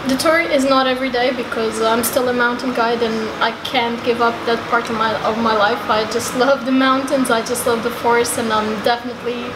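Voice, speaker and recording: A woman's voice: on the high side, steady tone, fairly quick, few pauses. A young woman, a mountain guide, chatting casually as a podcast guest in a coffee shop, friendly and hesitant. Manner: friendly, hesitant with many fillers. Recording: echoey cafe with loud background hum.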